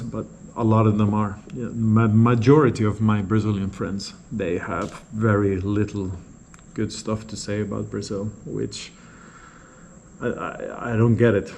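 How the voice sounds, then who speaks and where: A man's voice: on the low side, a little mellow, a little hiss or hum. A man from Norway, a native Norwegian speaker, talking casually and unscripted as a vlogger, rambling and repeating words as he goes. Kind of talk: casual unscripted vlog replies to viewer comments.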